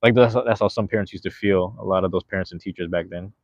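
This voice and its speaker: A man in his thirties talks in a loose, rambling way, full of slang and casual swearing. His voice sits fairly low. The recording is dry and dull, with a lo-fi sound. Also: fairly even intonation, quick pace, darkish tone, no room sound. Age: 30s. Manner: rambling, laid-back, slangy, swears freely. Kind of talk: casual chat.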